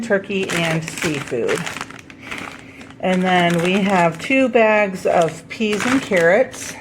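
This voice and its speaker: Stuffed-up woman homesteader for a laid-back grocery haul vlog; slow, rambling, self-correcting, light room noise. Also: on the low side. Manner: chatty, with many ums and self-corrections. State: stuffed up from a head cold. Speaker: homesteading vlogger.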